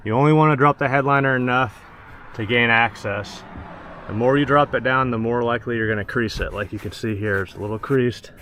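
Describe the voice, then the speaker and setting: A man's voice: mid-range voice, a little fast, fairly bright tone. A man narrating a casual how-to while working in and around a parked truck, plain-spoken and instructional, in short practical phrases. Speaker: presenter of a truck installation how-to. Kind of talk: casual hands-on tutorial narration. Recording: clear background noise, clicks of handling.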